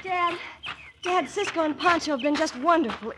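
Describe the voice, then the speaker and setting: A woman's voice: very high voice, normal speed, fairly bright tone, a little hiss or hum. An actress playing a spirited, admiring young woman in an old-time radio western, earnest and theatrical. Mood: grateful.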